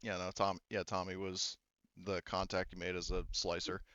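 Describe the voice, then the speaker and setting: A man's voice: deep voice, completely flat delivery, normal speed, sharp tone, smooth, dry recording, dull audio. A man running a tabletop game in casual talk, narrating and explaining rules, often rephrasing as he goes. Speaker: game master.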